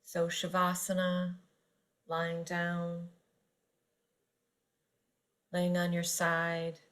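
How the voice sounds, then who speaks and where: A woman guiding a yoga nidra meditation, speaking gently and unhurriedly with long pauses between phrases. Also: fairly low pitch, completely flat delivery. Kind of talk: guided meditation narration.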